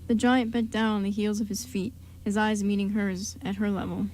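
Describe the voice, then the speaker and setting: A woman narrates a scripted story for a radio play. Her voice sits at an average pitch, with a little noise in the background. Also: level tone, normal speed, a little mellow, poor audio.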